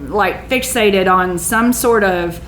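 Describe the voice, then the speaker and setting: A woman's voice: mid-range voice, slow pace, fairly bright tone. Chatty woman host, echoey stage. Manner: chatty, enthusiastic. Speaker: podcast host. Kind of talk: casual conversational interview. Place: on the stage of a small theater. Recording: roomy echo, faint background noise.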